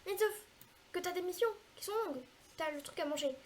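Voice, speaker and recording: A woman with a cold explaining a video game in a chatty, hesitant way, pausing often to fill gaps while she plays. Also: extremely high pitch, a little hiss or hum. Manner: chatty, hesitant, frequent filler pauses. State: has a cold, congested. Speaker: gaming video creator. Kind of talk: casual gameplay tutorial commentary.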